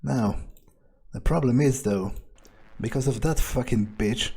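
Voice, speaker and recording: A man's voice: medium pitch, measured pace, creaky, a little mellow, slight background noise. A man playing a cruel, impatient vampire in a video game roleplay, musing aloud with menace. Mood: menacing, weary.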